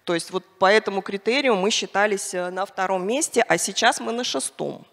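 A woman's voice: mid-range voice, a little fast, fairly bright tone. A middle-aged woman speaking on a conference panel into a handheld mic, hesitant with many fillers and restarts. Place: large conference hall on stage. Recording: reverberant conference hall.

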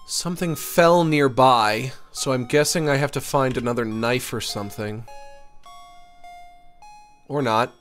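A man's voice: mid-range voice, unhurried, long pauses, a bit bright, faint music behind. A man giving casual live commentary on a video game and reading its text aloud, playfully whiny when let down.

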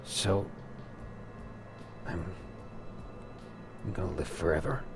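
Low, gravelly man, halting and hesitant. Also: expressive. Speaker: let's play roleplayer. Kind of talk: improvised in-character roleplay over a video game. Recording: loud game music behind. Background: game music.

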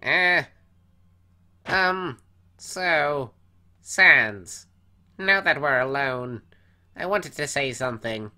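Earnest, theatrical skeleton brother for a cartoon comic dub; bright, forceful tone, slow delivery. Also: male voice, a little high, lively intonation, heavily acted. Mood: hesitant, caring. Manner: dramatic, emphatic, formal wording. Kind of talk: animated comic dub acting.

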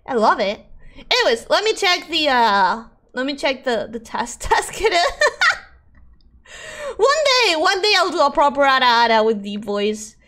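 Girl streamer, native spanish speaker, super high swoopy voice, chatting casually while gaming. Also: measured pace. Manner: animated, expressive, exclaiming. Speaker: game streamer. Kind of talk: casual livestream chat over gameplay.

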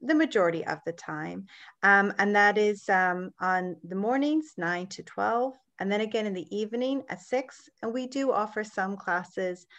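A woman from the college staff speaks on an online panel over a video call. She lays things out in a plain, matter-of-fact way, with an even, middle-pitched voice. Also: moderate pace.

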